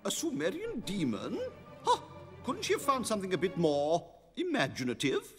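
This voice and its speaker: A man's voice: sits high, huge pitch swings, moderate pace. A man playing a pompous, indignant elderly college head in a cartoon, with a British accent and theatrical delivery. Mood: scornful. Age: older. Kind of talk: cartoon acting. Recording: echoing lecture hall, faint music.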